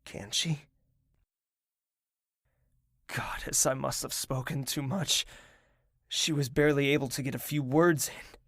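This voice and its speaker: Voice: medium pitch, fairly even intonation, a little slow, long pauses, dry recording, clean studio sound. A performer voicing a troubled young royal in an anxious, self-doubting inner monologue. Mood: conflicted. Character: troubled royal young woman torn between trust and doubt. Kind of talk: audio drama inner monologue.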